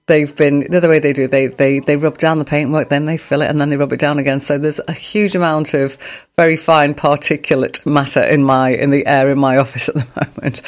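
Gravelly, congested woman radio host. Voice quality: deep, gravelly. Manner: chatty, self-mocking, rambling. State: congested from dust allergy. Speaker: classical music radio host. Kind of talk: casual radio chat.

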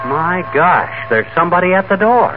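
Folksy wisecracking husband, lively, tinny old radio. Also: male voice, high-pitched, moderate pace, music in the background. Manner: wordy, colloquial, wisecracking. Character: folksy, wisecracking, boastful married man at home. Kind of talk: 1940s radio comedy acting. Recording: tinny, noisy 1940s radio recording.

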